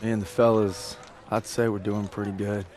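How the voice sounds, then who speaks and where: A man's voice: fairly low pitch, level tone, moderate pace, music in the background, natural voice. A man playing an earnest young American soldier, narrating a letter home in a reflective, plainspoken way for a game. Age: young adult. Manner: informal, plainspoken.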